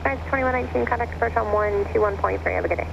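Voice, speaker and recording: Air traffic controller, clipped phraseology, quick delivery, gravelly fry, dull radio sound. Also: medium pitch. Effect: aircraft radio. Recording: muffled noisy aviation radio with aircraft noise.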